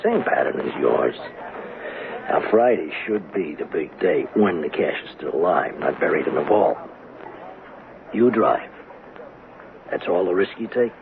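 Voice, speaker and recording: An actor playing a cocky, slick young bank robber in a radio drama, with slangy, swaggering talk. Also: male voice, deep voice, expressive, measured pace, creaky, pauses often, a crowd in the background, exaggerated voice. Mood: cocky, persuasive. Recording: narrow, noisy old radio drama broadcast.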